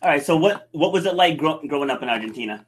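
A man's voice: on the high side, animated, fast, breathy edge. A man hosting a podcast interview, asking questions and dropping in short affirmations between them. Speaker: podcast host.

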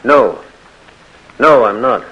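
A principled young gentleman. He speaks calmly and firmly, and his pitch rises and falls expressively. It sounds like a vintage radio play. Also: takes it slowly, highs cut off. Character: principled young Southern gentleman, loyal to the Union. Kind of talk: 1940s radio acting.

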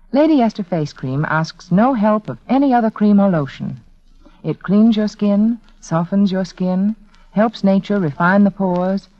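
Low-voiced woman pitching on vintage radio. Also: no room sound. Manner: persuasive, carefully enunciated. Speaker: advertising spokeswoman, beauty authority. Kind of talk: scripted 1940s radio commercial. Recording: muffled vintage 1940s radio recording.

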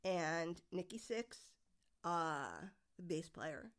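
A woman's voice: fairly low pitch, slow. A middle-aged woman, a guest on a podcast, chatting casually and rambling through stories with lots of fillers. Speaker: guest, musician's mother.

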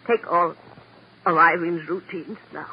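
A sentimental showbiz booking agent in an old radio crime drama. The voice is fairly high, plaintive and rambling, on a muffled recording with faint hiss. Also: male voice, steady tone, measured pace. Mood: resigned, chatty.

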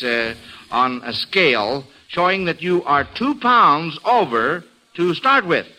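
A man's voice: on the high side, pushed and bright, low-quality recording. A man with an American accent hosting a classic radio quiz show, with folksy, chatty patter for kids and listeners. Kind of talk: classic mid-century radio hosting, part scripted and part ad-libbed.

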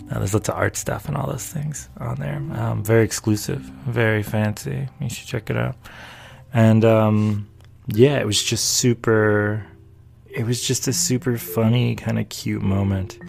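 A gravelly man from North Carolina talks casually about his painting and his day. His voice sits fairly low and rambles along with easy amusement, with soft music far in the background. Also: fairly even intonation, unhurried. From North Carolina, USA. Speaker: painter and video maker. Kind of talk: casual talking-head chat.

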